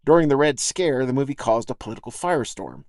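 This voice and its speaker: A man with a slightly low-pitched voice narrates a first-person essay for a podcast. He tells it in a reflective, folksy manner. The sound is dry, with very little room in it. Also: animated, fast, a little mellow, no room sound. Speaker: podcast host and essayist reading own essay.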